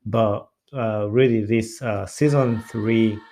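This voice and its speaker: A man from Uganda, hosting a podcast in a relaxed, rambling way with many "uh" fillers. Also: fairly low pitch, takes it slowly. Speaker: podcast host. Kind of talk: casual solo chat.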